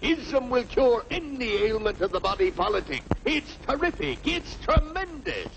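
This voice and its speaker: An actor voicing a boisterous, oily cartoon huckster with grandiose barker patter, in an old 1940s style. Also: male voice, very high-pitched, very animated, normal speed, creaky, noisy background, full character voice. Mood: hard-sell excitement. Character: oily, boisterous huckster peddling a miracle tonic.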